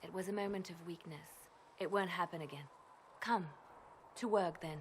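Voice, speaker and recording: A woman voicing a grave, guarded and devout spymaster in a video game, measured and brooding. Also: on the low side, slight background noise, lightly acted. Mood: curt, closed off. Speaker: spymaster with agents. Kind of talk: dramatic video game voice acting.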